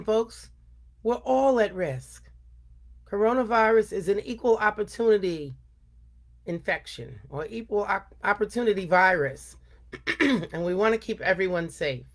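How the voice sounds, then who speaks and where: An older woman hosting a storytime, speaking earnestly and deliberately, chatting informally and reading aloud for children. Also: fairly low pitch.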